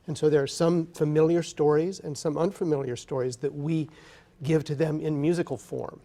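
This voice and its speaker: A man's voice: fairly high pitch, steady tone, moderate pace, dark tone. A man speaking as an interview guest, an orchestra conductor explaining things enthusiastically in a TV studio. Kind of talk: live TV interview talk.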